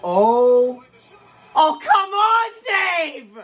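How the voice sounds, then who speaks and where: A man reacts casually and off the cuff. His voice sits extremely high and moves through big swings in pitch. His words come out very slowly and drawn out. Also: very animated, a bit bright.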